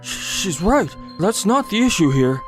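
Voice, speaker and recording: A woman's voice: mid-range voice, sing-song, unhurried, faint music behind. A woman playing an anxious, supportive junior office colleague in a manga dub. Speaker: dub voice actor.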